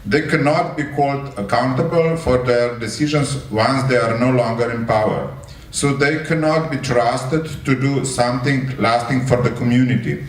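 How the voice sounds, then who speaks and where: Man, Slovenian accent, halting speech, public panel, echoey room, distant mic. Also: mid-range voice. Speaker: civil servant on a panel. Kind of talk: halting public reading and remarks.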